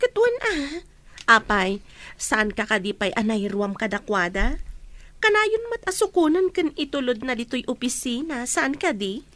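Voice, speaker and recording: A woman's voice: a little high, sing-song, normal speed, a bit bright. A woman playing a teasing, outspoken young office friend in an audio drama. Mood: brisk, matter-of-fact. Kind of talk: amateur audio drama acting.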